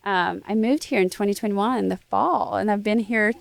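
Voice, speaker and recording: A woman in her twenties from Brazil, speaking English as a second language, telling her story with excitement and plenty of ums. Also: medium pitch, normal speed, gravelly. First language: Portuguese. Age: 20s. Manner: eager, upbeat, storytelling with frequent ums. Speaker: student podcast host. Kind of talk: casual interview chat.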